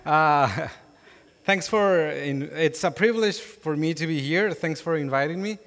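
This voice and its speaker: A man's voice: on the high side, a little slow, fairly bright tone, soft background music. A young adult man from Colombia with a Colombian Spanish accent, a native Spanish speaker, giving his testimony as a guest preacher in a church, speaking informally with many fillers and restarts. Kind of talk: sermon testimony. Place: church sanctuary. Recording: long hall reverb.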